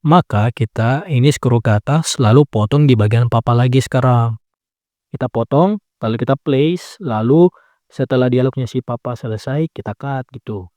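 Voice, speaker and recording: Calm man talking through an edit. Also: mid-range voice, moderate pace, no room sound. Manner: calm instructing with frequent "nah" and self-corrections. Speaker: video editing tutor. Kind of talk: step-by-step screen tutorial.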